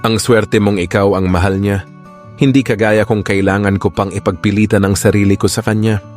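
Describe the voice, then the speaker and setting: Actor as low-voiced, serious drama man. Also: level tone, normal speed, darkish tone, faint music behind, natural voice. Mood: defeated, bitter. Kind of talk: Tagalog romance radio drama acting.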